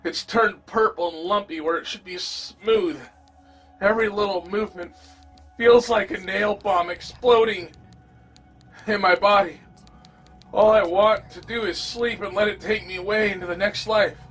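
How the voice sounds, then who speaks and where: A man playing a wounded, exhausted survivor of a zombie horde, narrating a first-person horror audio drama in a dramatic, halting way. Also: sits high, steady tone, fairly bright tone, soft background music. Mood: pained, exhausted. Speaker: amateur voice actor.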